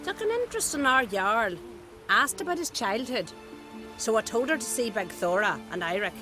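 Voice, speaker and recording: Chatty innkeeper, animated voice, game score. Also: female voice, fairly high pitch, normal speed, music in the background. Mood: folksy. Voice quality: folksy, chatty. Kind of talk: video game dialogue.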